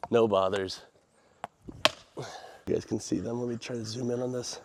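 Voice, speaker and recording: A man's voice: medium pitch, steady tone, moderate pace. An American man with a congested, nasal voice chats casually as a vlogger, rambling in informal, slangy language. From United States. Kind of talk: casual vlog chat.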